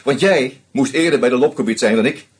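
An actor playing a shrewd screenwriter who methodically unmasks a killer, with a husky voice and dry irony. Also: male voice, medium pitch, a little fast. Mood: accusing. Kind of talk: radio play acting.

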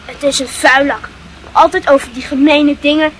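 A woman's voice: sits high, fairly even intonation, normal speed, fairly bright tone, slight background noise, poor audio. A woman playing a prudish, indignant, gossipy schoolchild in a radio play, speaking emphatically. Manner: emphatic, repetitive.

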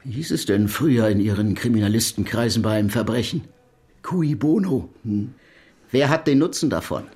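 An actor playing a bitter, accusing brother in a radio drama, formal, scornful and insistent. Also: male voice, lowish voice, normal speed, a little mellow, lightly acted. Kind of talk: German radio play acting.

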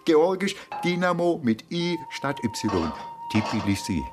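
An actor playing a wry former footballer turned investigator in a radio drama. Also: male voice, fairly high pitch, sing-song, slow, music in the background.

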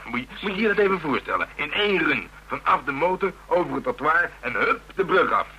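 An actor playing a gruff, sardonic policeman in a radio drama, talking colloquially. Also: a little high, very animated, a little fast, pushed and bright. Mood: sardonic, agitated. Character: gruff, grudging Amsterdam policeman nicknamed the Dragon, shaken, sardonic. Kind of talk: Dutch radio drama dialogue. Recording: hummy, noisy old radio recording.

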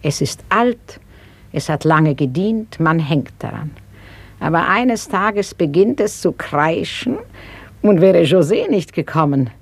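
An actress playing a proud, pious old mistress of a house in a radio play, musing theatrically and easily aggrieved. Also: female voice, on the low side, expressive, slow pace, a little hiss or hum. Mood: musing, peevish. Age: older. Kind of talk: 1960s German radio play acting.

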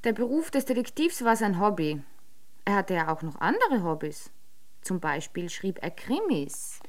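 A detective agency's secretary in a radio play, played by a woman, with a mid-pitched voice. She is cool and deadpan, delivering dark wit in a dry, matter-of-fact way. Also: normal speed, natural voice. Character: coolly witty detective-agency secretary writing crime novels. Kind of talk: radio drama acting.

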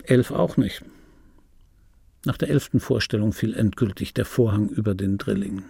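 An actor playing a wry, world-weary middle-aged film dubbing actor, reminiscing in a dry, laconic, intimate radio play monologue with a gravelly, husky voice. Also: male voice, on the low side, normal speed, mellow, a little hiss or hum. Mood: wry, resigned. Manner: dry, laconic, musing aloud. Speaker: radio play actor, first-person narrator.

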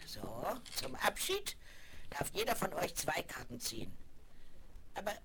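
Slow, swooping, deep-voiced old fortune-teller. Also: female voice, very animated. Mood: mysterious, slow. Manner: slow, dramatic pronouncements with endearing diminutives. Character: old circus fortune-teller Serafina, motherly, mysterious and firm. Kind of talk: German radio play for young listeners. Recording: noisy 1970s vinyl radio play.

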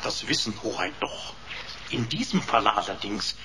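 Pompous, servile machine sidekick. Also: male voice, on the low side, animated, moderate pace, heavy background noise. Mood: smugly suggestive. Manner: florid, pompous, mock-servile. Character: servile, pompous, cheeky talking computer sidekick, male. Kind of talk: comic radio drama acting.

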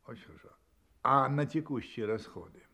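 A shrewd private detective in an audio drama. His medium-pitched voice moves through wide swings of intonation. He probes with composure and adds small ironic interjections. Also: expressive, gravelly, sharp tone, heavily acted. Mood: businesslike, courteous. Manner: probing, unhurried, ironic interjections. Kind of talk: Russian audio drama acting.

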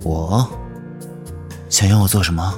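A man acting a handsome young suitor in a romance audio drama, with a low voice. He speaks in a self-assured, teasing way, with music playing underneath. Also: fairly even intonation, a little slow.

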